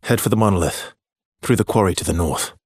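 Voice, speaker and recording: Male game hero: weary, guarded expeditioner with quiet regret. Very raspy, low voice, understated and brooding. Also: a little fast, no room sound, studio quality. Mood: guarded, matter-of-fact. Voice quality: husky, breathy. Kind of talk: video game voice lines, dramatic acting.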